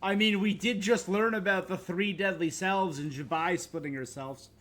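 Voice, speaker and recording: Slightly high-voiced man streaming a game. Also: steady tone, moderate pace, a bit bright. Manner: thinks aloud, echoes game dialogue. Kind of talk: casual live game reaction commentary. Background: faint game music.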